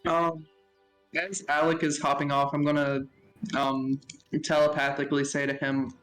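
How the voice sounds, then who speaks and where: A man's voice: fairly high pitch, little pitch movement, moderate pace, a bit bright, faint music behind, poor audio. A man playing a tabletop game, briefly stating what his character does. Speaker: player. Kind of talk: casual tabletop play.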